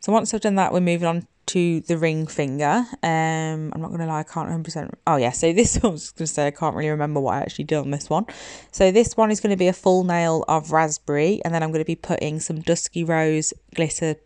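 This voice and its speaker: Young woman, low clear voice, bit bunged up, chatting through a nail tutorial. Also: fairly even intonation, fast, a little mellow, no echo, studio quality. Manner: chatty, informal. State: slightly congested from hay fever. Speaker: nail technician making tutorials. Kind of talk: casual voice-over tutorial. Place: indoors, voice-over recorded in a room at home. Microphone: phone.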